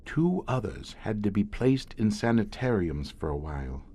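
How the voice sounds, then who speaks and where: An actor playing a weary, grim professor who commands a secret police squad, speaking formally and hesitantly in an audio horror drama. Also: male voice, on the low side, sing-song, mellow, breathy edge. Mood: grimly matter-of-fact. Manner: measured, hesitant, formal, darkly matter-of-fact.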